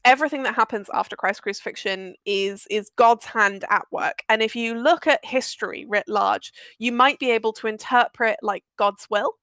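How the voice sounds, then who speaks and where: A woman's voice: on the high side, moderate pace, hardly any pauses, a bit bright, no room sound. A woman explaining history casually and with great enthusiasm, emphatic and effusive, happy to swear. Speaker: guest audio drama maker.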